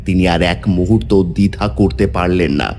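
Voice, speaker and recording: A man's voice: sits low, normal speed, a little mellow, slight background noise. A man giving dramatic, scripted narration of a horror story.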